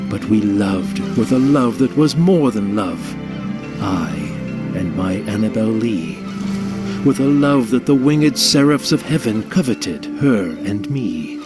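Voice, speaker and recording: A man's voice: lowish voice, expressive, takes it slowly. A man narrating a poem as a dramatic audio-theatre recitation, with music playing behind him. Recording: produced audio with loud music bed. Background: loud music.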